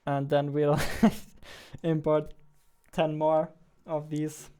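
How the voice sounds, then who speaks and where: A man's voice: a little high, completely flat delivery, very slow, dark tone, clear, resonant tone. A young man, an indie game developer, thinking aloud while he codes and muttering to himself between tasks. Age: young adult. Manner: muttering to himself, half-distracted. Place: small room at a computer desk.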